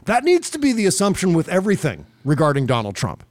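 Male American political podcaster, a touch high in pitch, conversational and emphatic, recorded very dry. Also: huge pitch swings, fast talker, a little mellow, dry recording. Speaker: podcast host. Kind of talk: casual political commentary.

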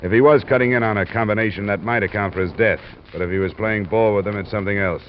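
An actor with an American accent playing a hard-boiled, wry private investigator in clipped, deadpan old radio style. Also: male voice, lowish voice, quick pace, lightly acted. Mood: skeptical, probing. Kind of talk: 1940s-50s radio detective acting and narration. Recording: muffled, noisy old radio recording.

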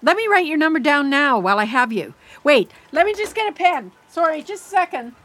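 A woman's voice: high voice, big pitch swings, fairly quick, a bit bright, slight background noise. A middle-aged woman playing an anxious, apologetic mother who rambles and frets in a naturalistic audio drama. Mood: flustered, eager. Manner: rambling, fretful, self-blaming.

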